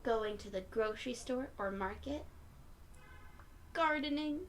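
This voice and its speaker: A woman with a high voice reads a picture book aloud for small children. She takes her time, speaking expressively and encouragingly as if the listeners could answer. There is faint noise in the background. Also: measured pace. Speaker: children's read-aloud storyteller.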